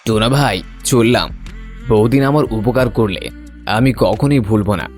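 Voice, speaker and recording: A man's voice: medium pitch, normal speed, darkish tone, soft background music, natural voice. A man voicing a needy, idle male crow in a cartoon, speaking in a plaintive, pleading way. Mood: humble, grateful. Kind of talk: scripted cartoon dialogue.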